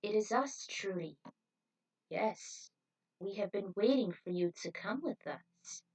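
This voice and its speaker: A woman's voice: mid-range voice, lively intonation, slow, darkish tone, husky, distant mic, exaggerated voice, poor audio. A woman voicing an easygoing, brainwashed bounty hunter in a story reading, carefree and placid.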